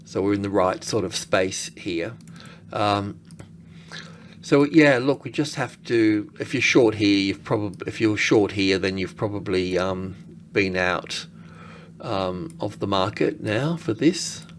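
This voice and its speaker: A man giving casual, unscripted screen-share commentary as an analyst, halting and thinking aloud as he goes. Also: fairly low pitch, slight background noise. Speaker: market analyst presenting.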